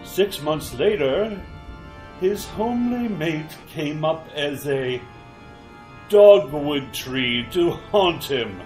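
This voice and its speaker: A man playing a gleeful, ghoulish horror host in a retro radio drama, theatrical and drawn-out with mock courtesy. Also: a little high, expressive, a little mellow, echoey room, soft background music. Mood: gleefully macabre.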